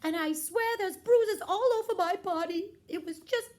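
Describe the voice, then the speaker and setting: A woman's voice: very high voice, moderate pace, darkish tone, pitched above the performer's own voice. A woman voicing a dramatic, fussy and frank young princess in a children's puppet show. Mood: indignant.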